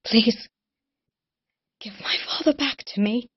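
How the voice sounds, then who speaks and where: A woman's voice: lowish voice, steady tone, moderate pace, husky, no room sound. A woman voicing a torn vengeful elf warrior. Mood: pleading. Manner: hushed, often whispered. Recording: dull lo-fi recording.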